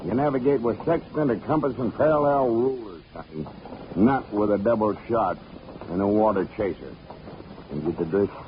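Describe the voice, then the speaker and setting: Casting: a man doing a salty old skipper, low voice with lively swings, sea slang, muffled hissy old radio sound. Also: normal speed, lightly acted. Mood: salty, gruff. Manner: nautical slang, calls others "Sonny". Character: gruff old male boat captain, salty sea talk. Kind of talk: 1940s radio acting. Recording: dull old radio recording with hiss.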